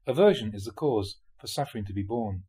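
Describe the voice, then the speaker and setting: Man with expressive, slightly husky reading voice. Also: lowish voice, fairly quick. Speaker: monk reading dharma talks aloud. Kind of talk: reading a spiritual talk aloud.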